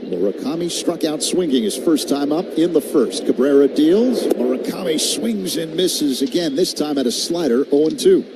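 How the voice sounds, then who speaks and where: A man's voice: fairly high pitch, animated, normal speed, hardly any pauses. An American man calling a live baseball game on the radio as play-by-play announcer, with ballpark ambience behind him. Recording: outdoor ballpark broadcast with clear ambient noise. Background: outdoor stadium ambience.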